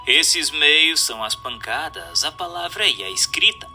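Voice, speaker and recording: A man with a Brazilian Portuguese accent reading a spiritual text as scripted narration, in a formal, measured, didactic way. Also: a little high, lively intonation, vocal fry, over music.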